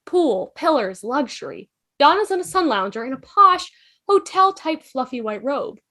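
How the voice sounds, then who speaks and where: High, animated woman reading stage directions. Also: moderate pace. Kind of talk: scripted narration in fan table read.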